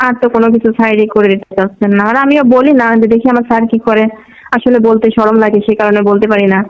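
A woman's voice: a little high, fairly even intonation, fast, slight background noise. A woman with a Bangladeshi accent chats casually and intimately on a phone call.

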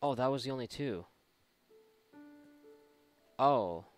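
A man's voice: medium pitch, animated, slow pace, smooth, soft background music. A man giving casual, rambling and sarcastic let's play commentary, swearing freely as he goes. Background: video game ambience.

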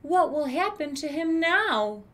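A woman's voice: high voice, sing-song, measured pace, fairly bright tone, pitched above the performer's own voice, full character voice. A woman voicing a pitying villager in a storybook, fretting sorrowfully over a child. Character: pitying, whispering villagers gossiping about a child.

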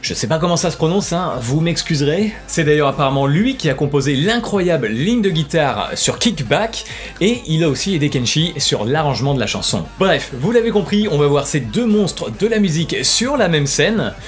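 A young man with a fairly high voice, talking in a lively, slangy way straight to his viewers. He sits in a small home room with a studio mic. Concert music plays faintly underneath his voice. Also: normal speed. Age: young adult. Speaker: music reaction youtuber. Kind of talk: casual reaction commentary. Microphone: studio condenser mic on a boom, headphones on. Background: faint concert music playing.

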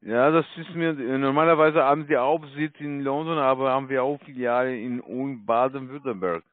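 A man with a foreign accent, not a native speaker, giving a halting, scripted pitch over the phone. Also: steady tone, dry recording. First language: not German. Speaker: call centre caller posing as official. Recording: thin phone line.

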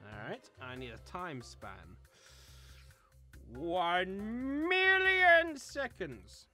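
Bright, lively man hosting a game. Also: high voice, extremely slow, faint music behind. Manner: playful, teasing. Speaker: host of a word game. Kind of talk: casual group game banter.

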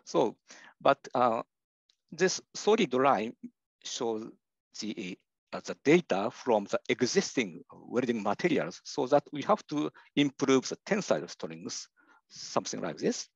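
An older man with a Japanese accent, a professor giving a slide lecture in English, halting often with "uh" and restarting his sentences. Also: medium pitch, sing-song, gravelly, breathy edge, no room sound. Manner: hesitant, many "uh" fillers and restarts. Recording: dull lo-fi dry recording.

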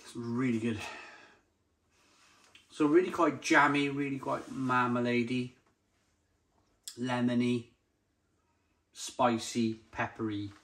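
A man's voice: mid-range voice, fairly even intonation, takes it slowly, pauses often, fairly bright tone. Enthusiastic man giving a casual tasting review. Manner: enthusiastic, savouring, repeats and dwells on descriptive words. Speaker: beer review channel host.

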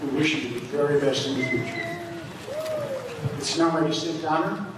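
A man's voice: fairly high pitch, moderate pace. Older male speaker, earnest advice at a podium, distant and echoey, noisy hall. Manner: earnest, advising. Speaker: commencement speaker. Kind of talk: formal speech. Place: large school gymnasium, at a podium. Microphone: podium microphone, heard from the back of the room. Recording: echoey gym, heard from far off.

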